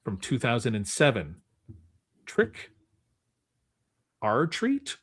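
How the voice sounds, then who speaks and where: Male podcast host, casual game-show chat, very lively intonation, mid-range pitch. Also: slow, breathy edge.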